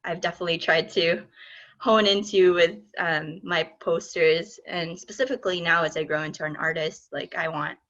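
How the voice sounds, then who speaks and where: A woman's voice: fairly low pitch, steady tone, moderate pace, fairly bright tone. A woman speaking thoughtfully and a little hesitantly as an artist on a discussion panel. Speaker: artist and exhibit lead on a panel. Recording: dull, muffled audio.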